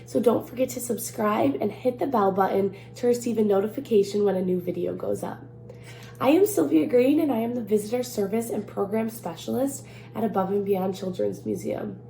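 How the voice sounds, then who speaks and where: A woman's voice: medium pitch, brisk, gravelly, dark tone, soft background music. A woman presenting a children's storytime as a museum staff member, recorded in a roomy space. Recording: roomy echo, faint background noise.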